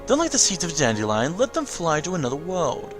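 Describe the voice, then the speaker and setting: A mysterious master from a video game , as a man puts him on, theatrical and instructive. The voice is a touch high and moves freely up and down. Music plays softly behind it. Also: huge pitch swings, quick pace.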